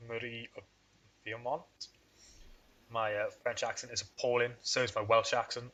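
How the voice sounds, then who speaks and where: A man narrating his strategy game session in a loose, rambling commentary, talking his moves through out loud. His voice is bright and forward, sitting at an ordinary pitch, and he often pokes fun at himself. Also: flat delivery, normal speed, slight background noise, rough recording. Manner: rambling, thinks aloud, self-deprecating. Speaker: let's play host. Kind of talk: casual gameplay commentary.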